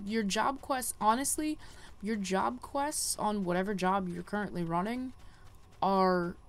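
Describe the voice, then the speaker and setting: A woman giving casual let's-play commentary as a gaming host, rambling and easily sidetracked. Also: medium pitch.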